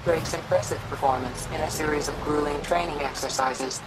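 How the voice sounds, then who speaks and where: A woman voicing a calm, impersonal computer assistant in a video game, narrating in a measured, clinical way. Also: low voice, very noisy, natural voice. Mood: impersonal, informative.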